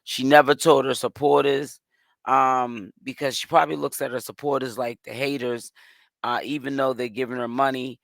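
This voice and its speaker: Hoarse man giving a casual update. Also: mid-range voice, little pitch movement, normal speed, a bit bright, dry recording. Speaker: commentary host. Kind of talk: casual gossip update commentary.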